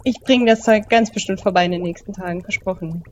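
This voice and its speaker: A woman's voice: medium pitch, brisk, a little mellow, dull audio. A woman playing a role-playing game, speaking in character and announcing her moves in the first person. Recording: clearly audible background noise.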